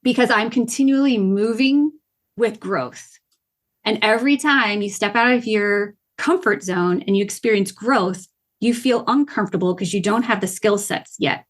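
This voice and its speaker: A woman talking as a podcast guest, telling stories about her goals in an upbeat, animated way. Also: mid-range voice, normal speed. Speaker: podcast guest, gamification coach. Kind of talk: casual podcast conversation.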